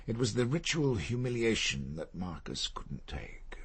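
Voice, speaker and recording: An actor playing a reserved middle-aged barrister in a radio crime drama, speaking formally and gravely. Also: male voice, lowish voice, big pitch swings, a little slow, full character voice. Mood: grave, bitter.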